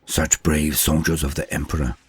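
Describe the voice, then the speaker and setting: A man voicing a devout, anxious young religious acolyte in a war story, in a hushed, solemn, prayerful manner. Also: very deep voice, flat delivery, normal speed, faint music behind. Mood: admiring. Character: devout, anxious male acolyte, earnest and weary.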